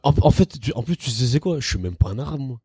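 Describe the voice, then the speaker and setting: Young male comedian, mellow timbre, dry close mic, lively storyteller who slips into quoted voices. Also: mid-range voice, fast talker, breathy edge, no echo. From northern France. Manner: animated, acts out quotes in shifted voices. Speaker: comedian guest. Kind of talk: casual podcast chat.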